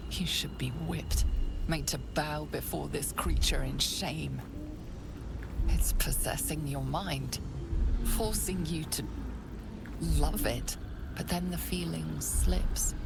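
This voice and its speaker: A woman voicing a grave, ominous narrator in a dark fantasy video game, with a hushed, deliberate, dramatic delivery. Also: low-pitched, creaky, over loud music, heavily acted. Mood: grave, intense. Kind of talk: scripted second-person game narration.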